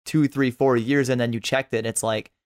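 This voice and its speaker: A man's voice: medium pitch, steady tone, a little fast. A man chatting casually as a guest on a podcast. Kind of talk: casual chat.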